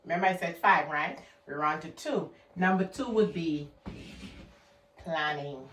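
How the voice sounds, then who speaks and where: A woman's voice: low-pitched, measured pace, fairly bright tone, roomy sound, recorded from a distance, a little hiss or hum. A woman with a Guyanese accent giving an emphatic motivational talk, repeating her key words for stress. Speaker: motivational vlogger.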